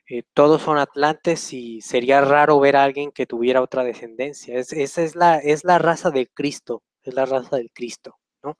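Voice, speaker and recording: A man's voice: mid-range voice, clean tone, no room sound. A young man hosting a casual question-and-answer session over a video call from a small room, rambling and hesitant with many fillers. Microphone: webcam on a video call.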